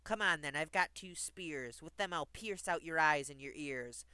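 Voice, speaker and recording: A man voicing a huge, fearless, fierce billy goat with a gruff voice in a storybook. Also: on the high side, normal speed, bright, forceful tone, dry recording. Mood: fierce, defiant.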